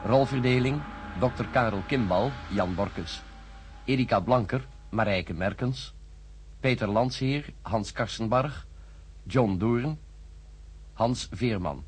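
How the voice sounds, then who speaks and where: A man's voice: mid-range voice, slow, breathy edge. A man working as an announcer, reading scripted closing credits for a radio drama. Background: faint music.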